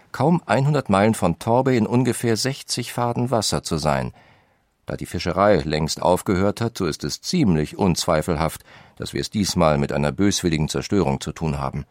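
An actor reading the letters of a nineteenth-century industrialist brother in a firm, emphatic way. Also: male voice, fairly low pitch, brisk, darkish tone, no room sound, studio quality, natural voice. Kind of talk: reading historical letters in a radio feature.

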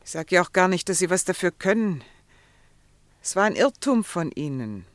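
An actress playing a desperate, determined wife in a German radio drama, earnest, insistent and pleading. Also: female voice, fairly low pitch, very animated, normal speed, dry recording. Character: Mrs Parsons, desperate, determined wife of an accused man.

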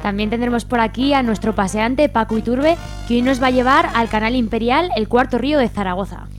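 A woman's voice: a little high, fairly quick. A woman with an accent from Spain, presenting and reading scripted material as a radio co-host in a studio. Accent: Spanish, from Spain. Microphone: studio microphone. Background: music.

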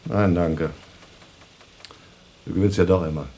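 A man's voice: low voice, steady tone, measured pace, warm tone. A man playing a dutiful, sober astronaut on a moon base in a radio play, speaking in a matter-of-fact, wry manner. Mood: subdued, wry.

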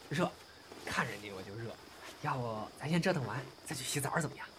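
一位男演员在广播剧里饰演调皮爱闹的相声搭档兼恋人，年纪较轻，说话俏皮，爱斗嘴。. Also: male voice, mid-range voice, a little fast, noisy background, lightly acted. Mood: playful, coaxing. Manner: cheeky, expressive, bantering. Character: cheeky, playful younger male comedy partner and lover. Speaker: voice actor. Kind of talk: intimate audio drama dialogue.

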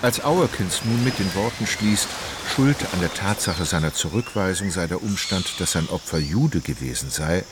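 Low, expressive male narrator. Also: moderate pace, noisy background. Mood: detached, dry. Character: wry, detached literary narrator of a radio play. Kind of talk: scripted narration in German radio drama.

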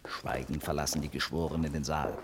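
An actor playing a dramatic courtroom reporter in a radio play, solemn and declamatory, with a crowd murmuring behind him. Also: male voice, a bass voice, fairly even intonation, a little fast, noisy background. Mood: hushed, solemn. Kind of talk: scripted live-report narration in radio drama.

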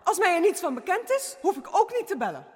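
An actress playing a haughty older government secretary near retirement, prim and condescending. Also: female voice, extremely high pitch, big pitch swings, fast talker. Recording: reverberant room.

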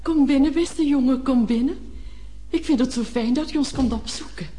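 A woman's voice: high-pitched, moderate pace, warm tone, lightly acted. A woman playing a cordial, chatty, genteel older mother in a radio play, gushing as she hosts. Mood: gushing welcome. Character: cordial, chatty old lady, eager hostess mother. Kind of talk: Dutch-language radio play acting.

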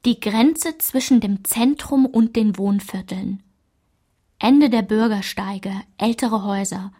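A woman performing a narrator who recalls her small-town French childhood in a literary radio play, in a measured, enumerating delivery. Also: mid-range voice, dark tone. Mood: measured, reflective.

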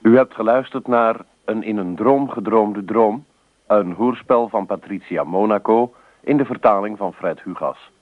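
A man's voice: lowish voice, dry recording. A man reading scripted closing credits as a radio play announcer. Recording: dry muffled phone-like old radio recording.

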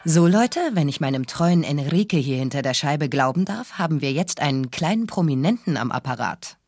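An actress playing a brash, sardonic radio talk-show host with a husky voice and a wry, commanding manner. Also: female voice, low-pitched, animated, normal speed, darkish tone, faint music behind. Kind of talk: radio drama acting.

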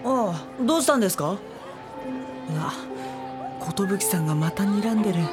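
An actress playing a mild, polite teenage schoolboy who also narrates in a drama CD. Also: female voice, mid-range voice, animated, moderate pace, over music, close to an everyday voice. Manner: polite, flustered. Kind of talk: first-person narration and dialogue.

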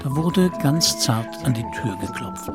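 A man reads literary narration for a radio play. His voice is mid-pitched, and music is clearly heard behind it. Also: lively intonation, a little slow.

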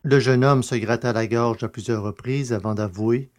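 A man reading scripted narration for a science fiction audio drama in a measured, literary storytelling manner. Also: mid-range voice, steady tone, heavy background noise.

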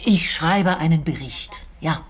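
Intelligence officer, slightly low pitch, husky, businesslike, pressing, determined, radio play. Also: female voice, slow pace, exaggerated voice. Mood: urgent, insistent. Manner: insistent, businesslike. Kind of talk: German radio drama acting.

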